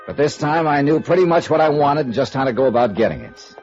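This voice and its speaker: A man playing a tough, no-nonsense insurance investigator in a radio noir drama, brisk and clipped in delivery. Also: fairly high pitch, expressive, a little mellow, soft background music, close to an everyday voice. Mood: brisk, businesslike. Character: hard-boiled, no-nonsense male freelance insurance investigator. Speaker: lead actor and first-person narrator. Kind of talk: 1950s radio noir acting. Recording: dull, lo-fi vintage radio recording.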